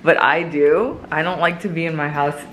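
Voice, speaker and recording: A chatty woman with a slightly low voice talks casually to the camera at home, rambling and laughing. A faint hiss sits under her voice. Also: steady tone, normal speed, a bit bright, rough recording. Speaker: vlogger. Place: home kitchen indoors.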